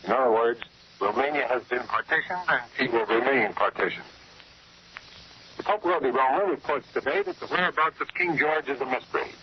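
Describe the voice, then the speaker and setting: Male 1940s radio correspondent, mid-pitched, formal and measured, dull vintage broadcast sound. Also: animated. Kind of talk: 1940s radio news report, scripted. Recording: muffled, noisy 1940s shortwave radio broadcast.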